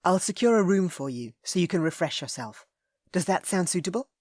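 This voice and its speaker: Woman reading a calm, courteous gentleman. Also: fairly low pitch, fairly quick, no room sound, studio quality. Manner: polite, accommodating.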